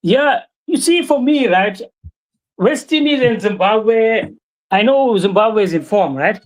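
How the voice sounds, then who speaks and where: A man joining as a guest pundit, giving measured cricket analysis and often adding right and you know. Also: high voice, animated.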